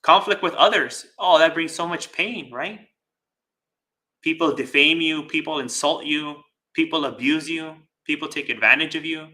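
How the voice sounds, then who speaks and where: A man giving a dharma talk as a Buddhist teacher, speaking deliberately with pauses, repeated phrases and rhetorical check-ins. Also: on the high side, sharp tone. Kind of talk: dharma talk with live chat questions.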